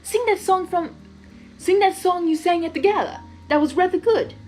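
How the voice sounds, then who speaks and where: A woman's voice: very high voice, normal speed, darkish tone, soft background music, pitched above the performer's own voice, low-quality recording. A woman voicing a smug, blustering male creature of chaos in a theatrical, commanding way for a story reading. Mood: insistent. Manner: pompous, commanding, exclaiming.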